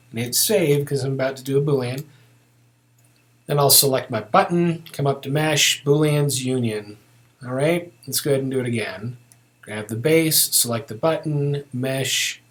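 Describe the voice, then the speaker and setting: A man giving a casual step-by-step software tutorial, thinking aloud informally as he works. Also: medium pitch.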